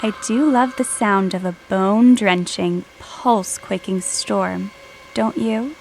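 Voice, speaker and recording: Creaky ghoulish horror storyteller. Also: mid-range voice, takes it slowly, darkish tone, a little hiss or hum, poor audio. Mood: sinister relish. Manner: relishing, sinister. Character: ghoulish monster storyteller savoring children's fright. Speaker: horror podcast narrator. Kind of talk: theatrical scripted horror narration.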